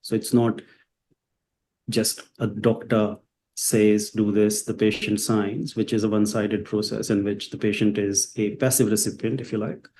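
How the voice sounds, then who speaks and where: Indian-born man, Hindi first tongue, interviewee; low warm tone, hesitant, slight noise floor. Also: level tone, moderate pace. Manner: hesitant, many fillers and restarts. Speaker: psychiatrist and company founder. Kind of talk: interview answers.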